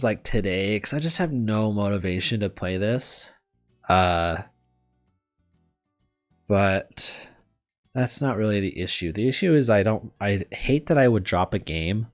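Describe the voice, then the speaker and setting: A man talking casually as a let's player, rambling hesitantly with sighs and trailing thoughts. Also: lowish voice. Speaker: let's player VTuber host. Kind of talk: casual rambling vlog commentary.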